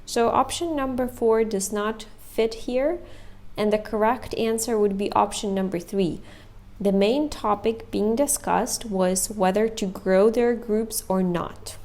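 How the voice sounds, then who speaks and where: A woman's voice: medium pitch, a little slow, darkish tone. A woman explaining listening test questions and answers, step by step and methodically. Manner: methodical, repeats options step by step. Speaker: English test prep teacher.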